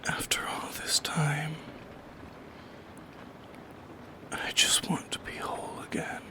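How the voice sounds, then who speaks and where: A man voicing a lonely, gently eerie shadow creature in an ASMR roleplay, in a breathy, hushed whisper with poetic, lingering phrasing. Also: normal speed, natural voice. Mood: wistful, yearning. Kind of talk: soft-spoken ASMR roleplay monologue.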